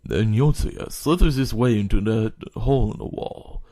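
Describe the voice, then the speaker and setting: A man's voice: fairly low pitch, normal speed, warm tone, dry recording, clean studio sound. A man giving scripted narration for an audio drama.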